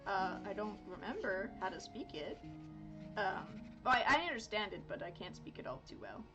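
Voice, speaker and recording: A woman's voice: medium pitch, sing-song, moderate pace, highs cut off, music in the background. A woman in her thirties from Miami, chatting casually while drawing on a livestream, rambling with lots of fillers and self-corrections. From Miami, United States. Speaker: art streamer.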